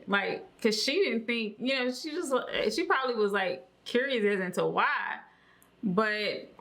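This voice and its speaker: A woman co-hosting a casual reaction chat, informal and halting as she thinks aloud. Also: fairly high pitch, fairly bright tone. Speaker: co-host reacting to a video.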